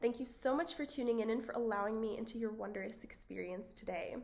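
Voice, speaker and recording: A woman hosting her own channel in a casual, chatty vlog, her voice raspy and hoarse, with frequent fillers and little laughs. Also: a little high, a little fast, darkish tone. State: hoarse, voice cutting out. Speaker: channel host. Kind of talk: casual spiritual vlog talk.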